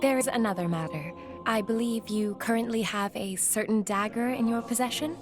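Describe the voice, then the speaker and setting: A woman's voice: a little high, normal speed, music in the background, close to an everyday voice. A woman voicing a serene, courteous envoy with a formal, composed manner in a video game cutscene. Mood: gently persuasive. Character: serene, courteous female envoy of a high leader.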